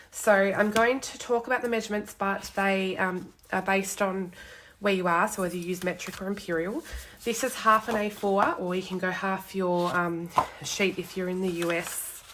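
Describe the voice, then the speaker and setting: Brief: young woman, hoarse and snuffly from a cold, chatty craft livestream host at her desk, faint background hiss. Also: medium pitch. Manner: chatty, greets viewers, lots of ums. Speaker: card-making demonstrator. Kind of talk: casual live craft demo.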